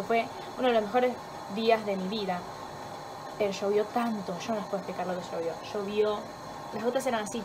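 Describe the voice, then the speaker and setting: A young woman with a Rioplatense accent rambles and teases herself in a casual home vlog. Her nose is stuffed up and her throat is sore. Her voice sits at a middle pitch over clear kitchen noise. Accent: Rioplatense Spanish. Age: young adult. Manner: chatty, rambling and self-mocking, swears freely. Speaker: vlogger.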